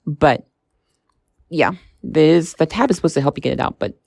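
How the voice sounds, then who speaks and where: A woman's voice: sits low, lively intonation, moderate pace, creaky, darkish tone, slightly rough, no room sound, studio quality. A woman giving a casual tech review as a vlog host, talking in a hesitant, rambling way. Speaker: product reviewer and channel host.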